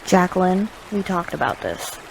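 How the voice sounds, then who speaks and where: A woman playing a composed, practical survivor leader, measured and direct, in an audio drama. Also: on the low side, sing-song, gravelly, a little hiss or hum, low-quality recording.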